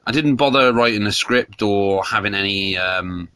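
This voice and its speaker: A man's voice: fairly low pitch, normal speed, sharp tone, clear, resonant tone, no echo. Congested man ranting on films. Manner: rambling, opinionated, off the cuff. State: congested from a cold. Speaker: podcast host. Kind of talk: solo casual podcast chat.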